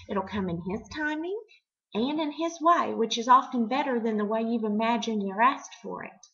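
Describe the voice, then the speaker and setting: A middle-aged woman hosting a devotional for children, teaching in simple, expressive words and putting questions to the listener. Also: mid-range voice, muffled recording. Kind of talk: devotional talk addressed to children, with storytelling.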